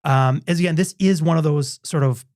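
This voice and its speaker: Slightly high-voiced man in casual chat. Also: fairly quick, darkish tone, dry recording, clean studio sound. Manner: laid-back, informal, talking in loose stop-start phrases. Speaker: podcast co-host; university faculty member.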